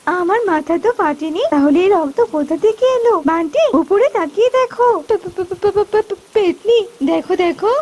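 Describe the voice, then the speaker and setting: A woman's voice: very high voice, moderate pace, a little mellow, voice pushed up. A woman voicing a timid, fretful young female bird in a cartoon, anxious and pleading. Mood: frightened.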